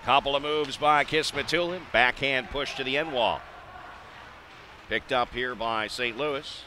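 American man calling hockey over rink noise. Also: medium pitch. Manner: excitable on big plays, measured between. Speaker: play-by-play hockey announcer. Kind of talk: live radio-style sports commentary. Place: indoor ice arena. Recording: noisy live rink broadcast.

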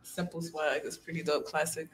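A young woman chatting casually on a podcast, talking in a flat, monotone way with little energy. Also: low-pitched, normal speed. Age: young adult. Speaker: blind date guest. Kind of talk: casual chat. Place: small podcast studio, indoors. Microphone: studio microphone held close.

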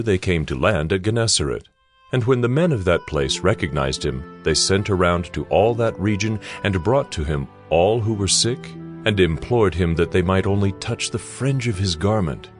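A man's voice: sits low, expressive, normal speed. A man giving a dramatic scripted scripture narration. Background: music.

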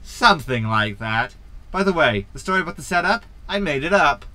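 Man playing a cheeky, bright-voiced hologram. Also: on the high side, sing-song, a little fast, dry recording. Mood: smug. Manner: glib, mocking, hammy. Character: smug, cheeky male hologram, mischievous con artist. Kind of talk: science fiction sitcom audio drama.

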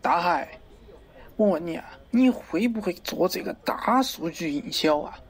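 A man's voice: sits high, expressive, normal speed. A man playing a pompous middle-aged wholesale market director in a parody radio drama, avuncular and lecturing, crude yet fond of poetry. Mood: scornful, blustering. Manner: avuncular, lecturing, theatrical, abruptly swearing.

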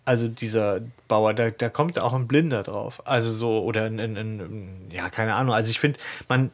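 A man's voice: fairly low pitch, normal speed. A man co-hosting a podcast, retelling and critiquing a story in colloquial speech with quoted lines thrown in. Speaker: podcast host.

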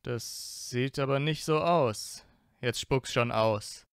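A man's voice: mid-range voice, slow pace, clean tone, no echo. Self-assured teenage wizard played by a man, teasing and probing, close dry sound, fan audio drama.

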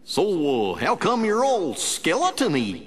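A man voicing a gentle, bumbling cartoon dog in a video game, folksy and good-natured, with an American accent. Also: high voice, wildly swooping pitch, a little slow, exaggerated voice. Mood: puzzled, gentle. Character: goofy, gentle, slow-witted male cartoon dog. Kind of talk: cartoon game character acting. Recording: faint background noise.

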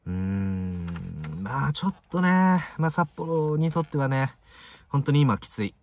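A man in his twenties giving casual match commentary to camera in short, halting phrases full of fillers, recorded inside a parked car. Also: fairly high pitch, lively intonation, a little mellow. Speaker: football fan video host.

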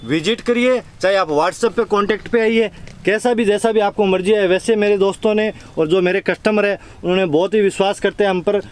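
High-voiced salesy shopkeeper man. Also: fairly even intonation, a little fast, clean tone. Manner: salesy, repeats prices and "see this". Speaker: wholesale lehenga shop owner. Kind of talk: sales pitch on a shop tour.

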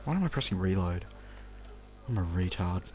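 A man's voice: deep voice, expressive, normal speed, over music. A young Australian man giving casual, off-the-cuff video game commentary, rambling in an offhand mutter and swearing now and then. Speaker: let's play commentator.

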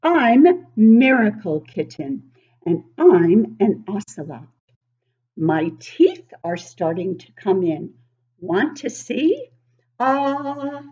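A woman in her sixties reading a picture book aloud to young children, expressive and exaggerated, often putting questions to the listeners. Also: medium pitch, very slow, lo-fi sound. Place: living room at home, indoors.

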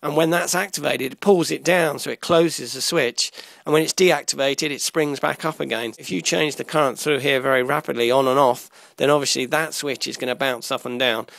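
Man, tutorial presenter, informal explaining, halting and self-rephrasing, fry in the voice, dry close sound. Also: medium pitch, fairly even intonation, a bit bright, no room sound. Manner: halting, often repeats and rephrases mid-sentence. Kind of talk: informal explanatory lesson walking through a diagram.

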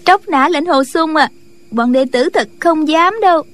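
A woman's voice: sits high, unhurried, pitched above the performer's own voice. A woman voicing an earnest, respectful young female disciple in a martial arts audiobook, girlish and deferential. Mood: sheepish.

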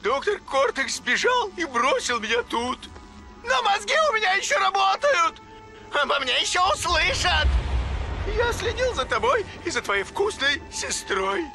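A man's voice: sing-song, measured pace, music in the background, exaggerated voice. An actor playing a vain, deranged villain's henchman in a cartoon game, whiny and boastful, with manic shrieking delivery. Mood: indignant, boastful. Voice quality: creaky, shrill. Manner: manic, boastful, swinging into shouts. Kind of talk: cartoon video game voice acting.

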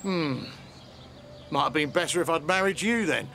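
An actor playing an uneasy, suspicious husband confiding in a friend in a radio soap. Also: male voice, a little high, lively intonation, normal speed, gravelly, pushed and bright, slight background noise, exaggerated voice. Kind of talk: radio soap opera acting. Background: ambience and birds.

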